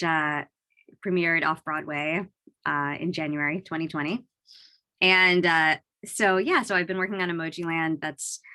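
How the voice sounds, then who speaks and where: A woman in her thirties from Chicago speaks on a video call with a bright, sharp tone and a slightly low pitch. She talks in an eager, chatty way, often dropping in "you know.". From Chicago, USA. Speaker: musical theatre writer. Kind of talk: panel talk on a video call.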